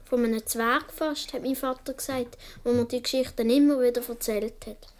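A woman's voice: on the high side, steady tone, normal speed, darkish tone, close to an everyday voice. A woman playing a young Swiss boy who tells his childhood adventures vividly in a radio play, with a Swiss German accent. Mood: storytelling. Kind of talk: first-person radio play narration.

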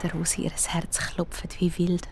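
A woman's voice: fairly low pitch, monotone, a little slow. A woman with a Swiss German accent narrating a scripted audio story for children.

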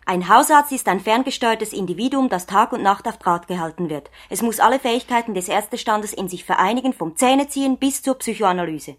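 An actress playing an earnest, thoughtful young practice assistant and loyal niece in a radio play, with a Swiss German accent. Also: female voice, mid-range voice, animated, brisk, few pauses, lightly acted. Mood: animated, proud. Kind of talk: radio play acting, conversational dialogue.

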